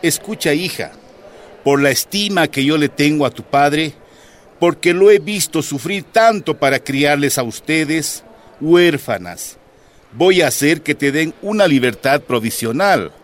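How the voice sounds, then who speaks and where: A man's voice: a little high, animated, measured pace. A man playing a stern, condescending police captain in a radio drama, emphatic and menacing.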